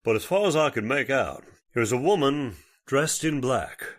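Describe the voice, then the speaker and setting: A man plays a folksy small-time judge in a vintage radio chiller. He is steady and courteous, with a mid-pitched voice that rises and falls widely. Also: close to an everyday voice. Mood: polite. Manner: folksy, deliberate, hesitant politeness. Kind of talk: old-time radio horror acting.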